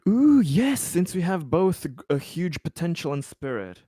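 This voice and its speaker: A man's voice: on the high side, very animated, moderate pace, warm tone, dry recording, clean studio sound. A man playing a tabletop game, talking strategy casually and analytically, often doubling up his words.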